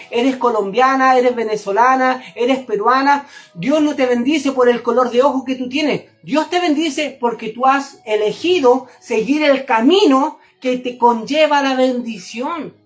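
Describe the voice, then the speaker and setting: High-pitched woman preaching emphatically. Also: a little slow. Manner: emphatic, didactic, repeats phrases for stress. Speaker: religious teacher. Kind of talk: Torah and Kabbalah sermon, direct address to listener.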